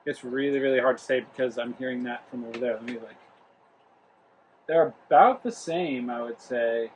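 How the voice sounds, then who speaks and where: A young man hosts a casual review vlog from home and rambles through his thoughts with plenty of ums and restarts. His voice sits at a middle pitch, with a light hiss of room noise behind it. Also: moderate pace, rough recording. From Rhode Island. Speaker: travel vlog host. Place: home, living room near the kitchen.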